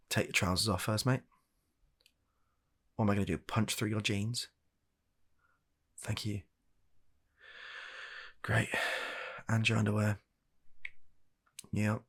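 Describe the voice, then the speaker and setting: A British man with a gravelly, husky voice performing a comedic medical roleplay in ASMR style as a doctor, speaking in an exasperated, hesitant, awkwardly deadpan way. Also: on the low side.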